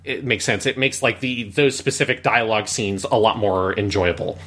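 Male podcast host, lowish pitch, crisp bright tone, casual and analytical critic. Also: steady tone, normal speed. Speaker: podcast host reviewing media. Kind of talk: casual review discussion.

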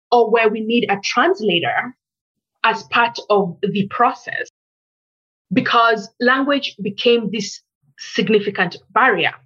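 A woman from Kenya, a researcher, giving a measured, reflective interview answer and building her points in lists. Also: a little high, fairly bright tone, breathy edge, highs cut off.

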